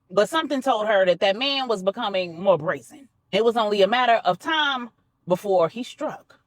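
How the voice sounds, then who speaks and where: A woman narrating a story for adults in a dramatic, deliberate way, with measured phrasing. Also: medium pitch, no echo. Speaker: storyteller, host.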